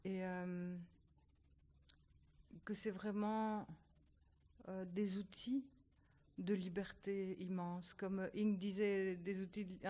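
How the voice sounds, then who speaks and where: A woman's voice: medium pitch, darkish tone. A woman with a mid-range voice speaks earnestly about drawing with children in hospitals. She puts firm emphasis on her points as she introduces herself at a panel. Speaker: engraver teaching drawing in hospitals.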